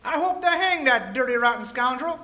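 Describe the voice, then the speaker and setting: Man acting a high-pitched, excitable old prospector. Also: exaggerated voice. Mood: indignant, proud. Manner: folksy Old West talk, drawn-out exclamations. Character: excitable old male prospector, rustic and crusty. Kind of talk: amateur radio-play acting.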